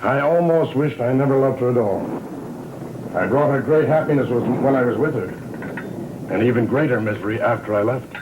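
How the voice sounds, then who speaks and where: An actor playing a weary, fatalistic old patriarch in a gothic soap opera, speaking in grave, ominous, theatrical phrases. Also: male voice, mid-range voice, brisk, phone-quality sound, background noise, lightly acted. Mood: brooding. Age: older. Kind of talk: 1960s-70s gothic soap opera acting. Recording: old TV soundtrack with background music.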